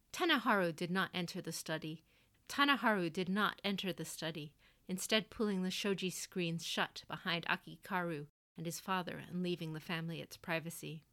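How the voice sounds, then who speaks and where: Female audiobook narrator, slightly low pitch, scripted fantasy prose. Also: sharp tone. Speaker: author reading her own story.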